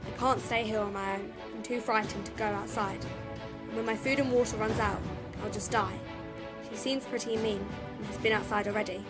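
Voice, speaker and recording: A woman's voice: medium pitch, steady tone, a little fast, over loud music, close to an everyday voice. A female performer playing a frightened, wary young girl in an audio drama, speaking timidly and hesitantly. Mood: fearful, reflective. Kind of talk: audio drama acting with first-person narration.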